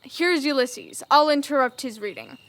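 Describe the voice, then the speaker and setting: A woman's voice: high voice, lively intonation, moderate pace, a bit bright. A young woman acting in Shakespearean verse as a proud, aloof male Greek warrior, haughty and declamatory. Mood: wounded pride. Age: young adult.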